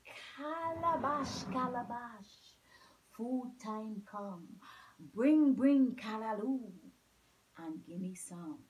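Lady putting on a fat sly village man, evasive, chuckly, a bit high, slow. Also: animated, slight background noise, rough recording. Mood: secretive. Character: fat, secretive, good-humoured village man.

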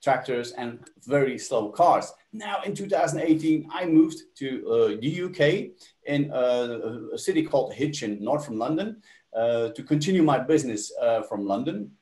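A man's voice: mid-range voice, animated, moderate pace, a little mellow, reverberant. A man, speaking at a webinar over a video call and telling his story with small hesitations. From Belgium. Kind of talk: webinar talk. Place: home office.

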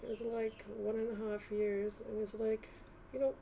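A woman's voice: fairly high pitch, level tone, moderate pace, mellow, a little hiss or hum, poor audio. A woman vlogger thinking aloud casually in a rambling, hesitant way as she browses on screen.

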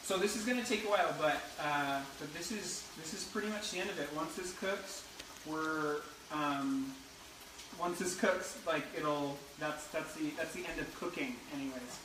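A man's voice: fairly high pitch, moderate pace, distant mic, noisy background. Laid-back young man, roomy kitchen sound. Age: young adult. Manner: laid-back, rambling with many fillers. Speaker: cooking show co-host. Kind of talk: casual cooking demo. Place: home kitchen. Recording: roomy, a bit off-mic.

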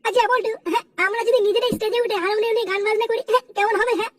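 A performer voicing an excitable, cheeky young male sidekick in a cartoon comedy, chattering eagerly. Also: extremely high pitch, rapid-fire, no room sound, studio quality, distant mic.

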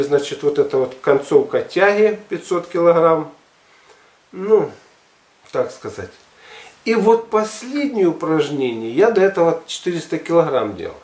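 A man in his sixties talking casually to camera in a halting, emphatic, colloquial way. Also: fairly high pitch, a little mellow. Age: 60s. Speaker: health and fitness vlogger. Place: furnished living room at home, indoors. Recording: echoey room, camera at a distance.

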